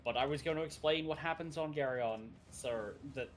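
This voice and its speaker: A man's voice: fairly high pitch, moderate pace, a little hiss or hum. Chatty man rambling about a story. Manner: rambling, laughs often, acts out quotes. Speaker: co-host of a game stream. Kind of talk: casual chat while gaming.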